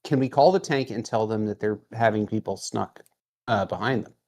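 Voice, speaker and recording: A man's voice: on the low side, normal speed, darkish tone. A man talking casually as a player in a tabletop roleplaying game.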